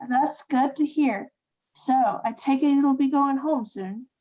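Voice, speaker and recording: A woman's voice: high-pitched, slow pace, warm tone, poor audio. A woman acting in a radio play as a kindly, fretful innkeeper, polite and earnest. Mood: relieved, fussing.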